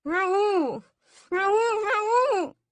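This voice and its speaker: A woman's voice: very high voice, expressive, extremely slow, no room sound, voice pushed up, heavily acted. A woman voicing a defiant, desperate half-vampire captive, crying out as if muffled by a gag, in an audiobook.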